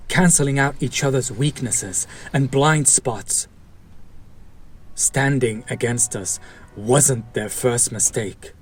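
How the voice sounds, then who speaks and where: A man playing a fallen hero turned villain, an idealistic, bitter and protective former partner, speaking earnestly with dramatic pauses in an audio roleplay. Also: mid-range voice, darkish tone, slightly rough. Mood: determined, persuasive. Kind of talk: dramatic audio roleplay monologue addressed to listener.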